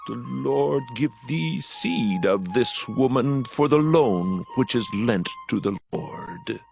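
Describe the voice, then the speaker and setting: An actor playing a very old priest and father, sorrowful and reproving, grave and halting in a dramatized scripture reading. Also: mid-range voice, animated, creaky, darkish tone, soft background music. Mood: solemn blessing. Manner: slow, grave, halting delivery.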